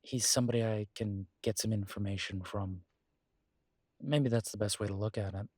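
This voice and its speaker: A man playing a conflicted, protective werewolf pack leader in an intimate audio roleplay, speaking hesitantly and reflectively with halting pauses. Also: fairly low pitch, steady tone, a little mellow, no room sound, clean studio sound, close to an everyday voice. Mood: reassuring.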